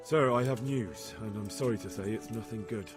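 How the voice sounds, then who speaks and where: An actor playing an earnest young medieval spy in a game, plain-spoken and respectful. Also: male voice, on the low side, moderate pace, music in the background, close to an everyday voice. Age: young adult. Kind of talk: video game cutscene acting.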